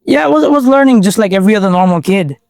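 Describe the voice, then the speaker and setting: A man's voice: sits high, racing, creaky, dry recording, studio quality. A young man from Bangladesh, chatting casually as a guest with lots of fillers and restarts. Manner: relaxed slangy talk full of fillers and restarts. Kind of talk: casual chat.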